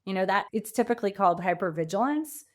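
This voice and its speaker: A woman's voice: medium pitch, expressive, quick pace, a little mellow. A woman answering as a guest coach in a podcast interview, speaking informally and emphatically, often acting out quoted thoughts.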